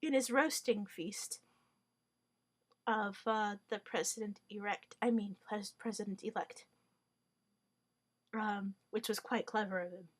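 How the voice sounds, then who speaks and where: A woman's voice: medium pitch, fairly even intonation, a little slow, long pauses, slightly rough. A woman whose first language is Hungarian, giving a wry, rambling monologue full of fillers, restarts and mock-formal wording. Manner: hesitant, many fillers and restarts.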